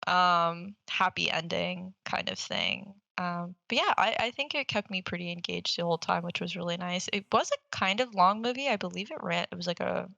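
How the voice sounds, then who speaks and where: Female podcast host, slightly low pitch, close dry sound, loose conversational movie chat. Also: animated, normal speed, creaky, few pauses, pushed and bright, dry recording. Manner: informal, rambling, swears casually. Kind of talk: casual chat, movie review.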